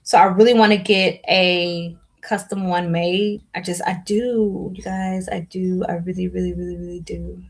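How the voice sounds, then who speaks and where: A woman's voice: on the low side, level tone, moderate pace, hardly any pauses. A young woman chatting casually to viewers on a live stream, rambling with lots of fillers and restarts. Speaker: hair vlogger hosting a live Q&A.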